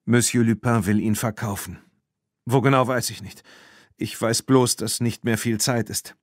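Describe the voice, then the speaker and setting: A man's voice: medium pitch, steady tone, moderate pace, no echo, natural voice. A man reads as a weathered old horse herder in a storybook. He is guilty and downtrodden, speaking apologetically and hesitantly in a dry, close recording. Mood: confessing.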